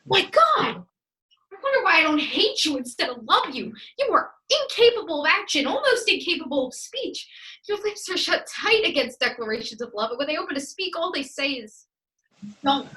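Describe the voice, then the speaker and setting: A woman's voice: high-pitched, big pitch swings, moderate pace, pushed and bright, full character voice. A young woman acting an ardent, impatient lover in a stage melodrama, declaiming with passion. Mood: scornful, exasperated. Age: young adult. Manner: declamatory, impassioned. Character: ardent, impatient lover, eloquently scolding and pleading. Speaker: student actor. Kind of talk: stage acting in a one-act period melodrama.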